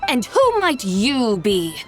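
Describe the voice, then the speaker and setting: A woman's voice: a little high, wildly swooping pitch, takes it slowly, faint music behind, exaggerated voice. A woman voicing a haughty, eccentric immortal spirit in a video game, speaking in an imperious, formal manner. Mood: haughty, teasing. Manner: imperious, formal, archaic phrasing. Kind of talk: video game cutscene dub acting.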